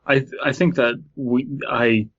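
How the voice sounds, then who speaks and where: A man's voice: mid-range voice, steady tone, slow pace, fairly bright tone, dull audio. A man co-hosting a comedy podcast, joking and reading, sometimes stumbling over his words. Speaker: podcast co-host. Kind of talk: casual comedic banter and reading.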